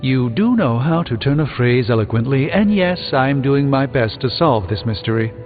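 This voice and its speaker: An actor playing a learned, dry-witted sorcerer superhero in a video game, speaking in a measured, articulate way. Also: male voice, medium pitch, expressive, natural voice. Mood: dry, wry. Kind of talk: video game dialogue acting. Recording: muffled thin band, reverberant, music behind.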